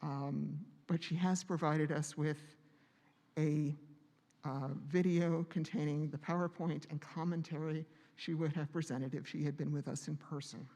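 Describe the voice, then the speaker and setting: Brief: male meeting host, voice strained from a vocal cord node, flat and gravelly, halting with fillers, long hall reverb. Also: on the high side. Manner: halting, with many pauses and fillers. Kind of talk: hosting a church meeting.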